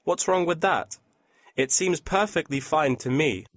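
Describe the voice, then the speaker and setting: A man's voice: fairly high pitch, measured pace, a bit bright, dry recording, clean studio sound. A man playing a courteous, businesslike printing company employee in a scripted listening-test dialogue. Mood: polite, attentive. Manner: courteous, customer-service formal. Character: polite, businesslike male printing company employee taking a customer's requests.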